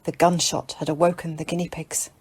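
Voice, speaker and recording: A woman with a slightly low voice reads an audiobook. She delivers scripted fiction as dramatic third-person narration. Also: little pitch movement, brisk, husky.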